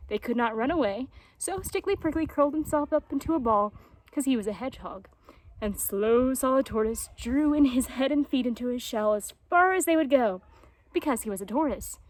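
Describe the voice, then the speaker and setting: A woman reading a children's story aloud as a storyteller, in an expressive narrating style. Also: high-pitched, brisk, no echo, low-quality recording.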